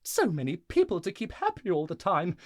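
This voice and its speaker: Woman playing lively, folksy town mayor. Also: lowish voice, fairly quick, no room sound, studio quality. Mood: weary, bashful. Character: folksy, harried but soft-hearted town mayor. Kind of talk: family audio drama acting.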